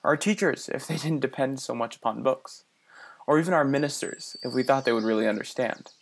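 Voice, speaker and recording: A man's voice: medium pitch, natural voice. An actor playing an earnest young man from the city who muses aloud and stammers a little when he is unsure. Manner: earnest, halting with small stammers. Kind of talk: radio play acting.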